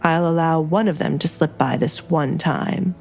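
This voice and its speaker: A woman voicing a powerful male duke, cool, controlled and quietly menacing, in an audio romance drama. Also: deep voice, a little slow, vocal fry, faint music behind. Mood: quietly threatening.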